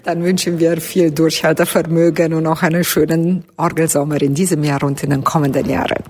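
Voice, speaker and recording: A woman radio interviewer with a low voice asks short questions and gives encouraging murmurs. Her manner is brief and friendly. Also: little pitch movement, fairly quick, a little mellow, a little hiss or hum.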